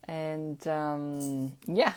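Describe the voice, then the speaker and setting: A woman whose first language is Portuguese, hosting a casual live-stream chat at an unhurried pace, with frequent fillers. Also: low-pitched, slight background noise. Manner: unhurried, chatty, many fillers. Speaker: host.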